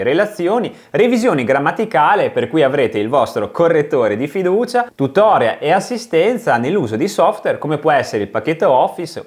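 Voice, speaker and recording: A man presenting a product review in an enthusiastic, emphatic way. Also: fairly high pitch.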